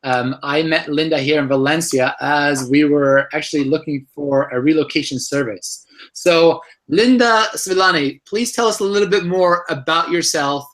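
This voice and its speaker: A man's voice: fairly high pitch, normal speed, fairly bright tone. A man hosting an interview show, asking questions and reacting with eager interjections. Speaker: host. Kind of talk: interview host.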